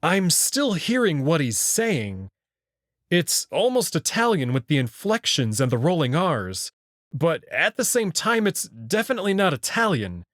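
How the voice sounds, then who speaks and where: Expressive man reading a story aloud, unhurried. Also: fairly high pitch, no echo, studio quality. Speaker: visual novel reader. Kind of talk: dramatic first-person narration with character voices.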